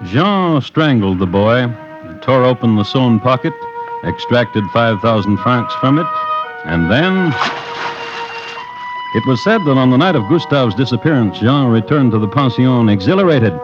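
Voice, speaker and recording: A muffled old radio recording, with clear music behind it, carries a male narrator. His mid-pitched voice is deliberate and literate, rising and falling dramatically. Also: animated.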